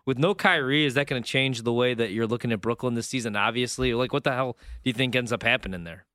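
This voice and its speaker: A man's voice: medium pitch, quick pace, sharp tone, no room sound, studio quality. A man hosting casual sports betting talk in an informal, slangy way. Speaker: sports betting show host.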